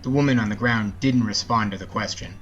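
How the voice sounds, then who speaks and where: A man's voice: lowish voice, fairly quick, fairly bright tone, soft background music. Conversational male storyteller, slightly dull recording. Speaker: podcast host and storyteller. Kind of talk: casual introduction and storytelling narration.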